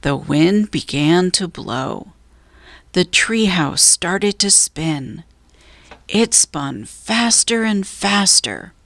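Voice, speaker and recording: Lady reading a kids book out loud, really slow and deliberate, lowish voice, no room sound. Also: lively intonation, no echo. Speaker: storybook reader. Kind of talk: scripted children's story narration, read aloud.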